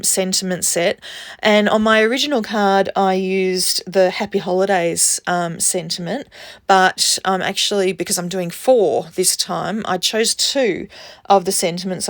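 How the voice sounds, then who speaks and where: A woman's voice: medium pitch, dry recording, clean studio sound. A woman with a stuffed-up nose from a cold, chattily narrating a casual craft tutorial as its host. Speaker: craft video host and cardmaker.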